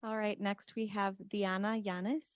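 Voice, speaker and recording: A woman's voice: mid-range voice, little pitch movement, normal speed, smooth, no echo, studio quality. A woman on city staff presenting a program and introducing speakers at a council meeting.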